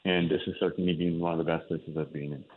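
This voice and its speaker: Deep-voiced man from India on a phone line. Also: fairly even intonation, quick pace, slight background noise. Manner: explanatory, with many fillers and restarts. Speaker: cardiologist guest. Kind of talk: interview answers.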